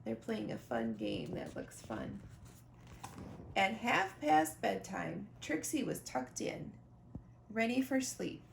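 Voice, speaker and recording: A woman reads a picture book to children with very lively, swooping intonation and a slow pace. Her delivery is expressive and full of asides to her young listeners. The room has a noticeable echo. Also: a little high, creaky. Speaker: librarian or teacher reading a picture book aloud. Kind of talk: animated children's storybook read-aloud with asides. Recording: roomy echo, faint background noise.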